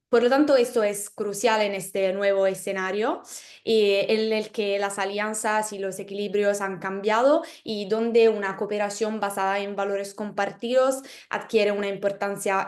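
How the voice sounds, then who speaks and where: Woman giving a talk, careful, mid-pitched. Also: level tone, measured pace. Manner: careful, frequent fillers. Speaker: guest speaker. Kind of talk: prepared talk. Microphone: video call.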